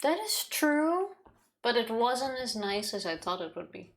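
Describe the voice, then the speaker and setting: A woman's voice: on the high side, big pitch swings, measured pace, fairly bright tone. A woman co-hosting a let's play, chatting casually. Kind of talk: casual game stream chat.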